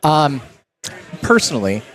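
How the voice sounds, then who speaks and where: A man hosting an interview, encouraging guests with quick affirmations. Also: medium pitch, sing-song, a bit bright. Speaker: interview host.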